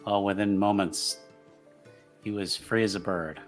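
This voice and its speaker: A man voicing a polite, tactful bearer of bad news in a tabletop roleplaying game, halting and careful. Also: on the low side, fairly even intonation, faint music behind, lightly acted. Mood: halting, grave.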